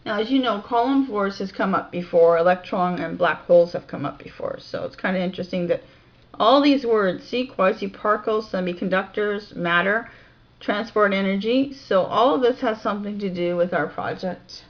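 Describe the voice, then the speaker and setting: A woman reading reference text aloud in a deliberate, informal way, with casual musing asides, on a home recording. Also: medium pitch, highs cut off. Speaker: amateur video researcher and narrator.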